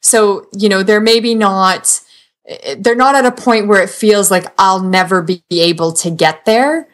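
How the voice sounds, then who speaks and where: A woman's voice: mid-range voice, a little slow. Upbeat woman giving earnest advice. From Canada. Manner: upbeat, earnest, uses "like" often. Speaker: interview guest, blogger. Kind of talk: conversational advice.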